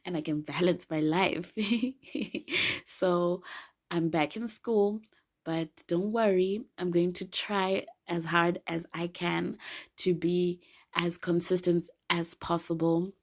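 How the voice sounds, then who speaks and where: Raspy young woman podcaster with a cold. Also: fairly low pitch, fairly even intonation, slow pace. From Zimbabwe. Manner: chatty, laughs often, gets emphatic. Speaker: podcast host. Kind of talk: casual solo podcast talk.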